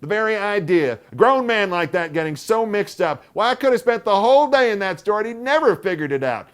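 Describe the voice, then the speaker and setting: A man's voice: a little high, moderate pace, pitched above the performer's own voice, lightly acted. A man reading a story aloud, voicing a stubborn, irritable aunt in a storybook. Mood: indignant. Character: fussy stubborn older aunt, tart and irritable.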